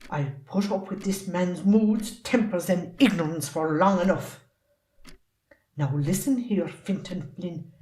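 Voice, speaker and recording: A woman's voice: mid-range voice, a little mellow, lightly acted. A woman with an Irish accent playing a long-suffering, fiery middle-aged wife and mother in an amateur drama. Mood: angry, threatening. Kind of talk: amateur stage drama acting.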